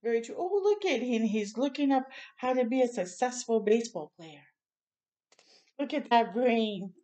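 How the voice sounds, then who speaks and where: A woman's voice: fairly high pitch, animated, moderate pace, dark tone. Older woman reading a picture book aloud. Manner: encouraging, chatty asides to young listeners. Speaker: children's storytime reader. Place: small room at home, indoors.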